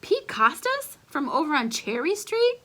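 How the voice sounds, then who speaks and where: Storybook uncle, played by a female reader: breezy young wise guy, teasing, slangy, animated delivery. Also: high-pitched, measured pace, pitched above the performer's own voice, heavily acted. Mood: puzzled, casual. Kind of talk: children's storybook read-aloud.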